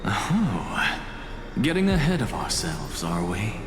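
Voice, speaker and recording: A proud, wry scholar's phantom from a fantasy game. He is theatrical and condescending, his low voice sweeping widely in pitch. It echoes as if in a large hall, with music behind. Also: wildly swooping pitch, slow, full character voice. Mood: wry, teasing. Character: proud scholarly professor, wry dead man's apparition. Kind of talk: dramatic fantasy game voice acting.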